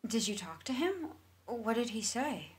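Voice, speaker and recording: An actress playing a guarded, grieving massage therapist in a stage drama, with a plainspoken delivery. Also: female voice, fairly high pitch, sing-song, unhurried.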